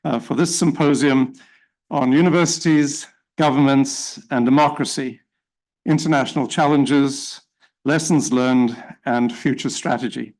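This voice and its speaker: Middle-aged South African man, formal opening remarks, measured pace, many fillers. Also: mid-range voice, fairly even intonation, a little mellow. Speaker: symposium chair. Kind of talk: formal welcome speech.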